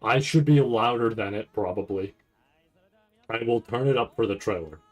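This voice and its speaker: A man's voice: fairly low pitch, moderate pace. A man streaming in a casual, rambling chat, thinking aloud in fragments and often tagging his points with a questioning check. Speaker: streamer hosting a reaction stream.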